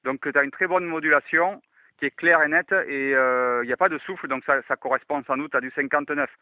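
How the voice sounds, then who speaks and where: A man's voice: a little high, fairly even intonation, a little fast, no echo. Thin-sounding man demonstrating a two-way radio. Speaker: amateur radio enthusiast and video host. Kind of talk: casual radio demonstration vlog with radio call-outs. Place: outdoors in open countryside. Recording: thin, phone-like band-limited sound.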